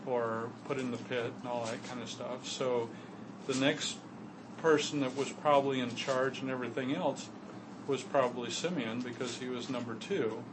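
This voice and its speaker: A man's voice: medium pitch, fairly even intonation, normal speed, noisy background. A man in a Bible study group, talking in loose run-on sentences.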